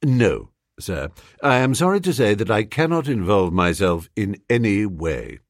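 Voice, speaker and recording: A man's voice: mid-range voice, huge pitch swings, unhurried, dry recording, clean studio sound. A man voicing a dignified manservant who speaks formally, respectfully but firmly in an audiobook story. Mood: firm refusal.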